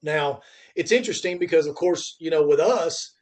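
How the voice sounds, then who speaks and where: A man's voice: on the high side, normal speed, darkish tone. A middle-aged man chatting casually as a podcast host, rambling and often circling back over his phrases. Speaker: podcast host, freight industry veteran.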